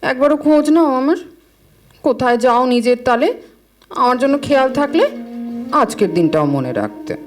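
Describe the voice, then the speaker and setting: A woman's voice: high-pitched, animated, normal speed, pauses often, darkish tone. A woman playing a proud, long-married middle-aged wife with a Bengali accent, nagging and reproachful in an audio drama. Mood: hurt, reproachful. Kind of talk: audio drama acting, domestic comedy. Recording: music under the voice.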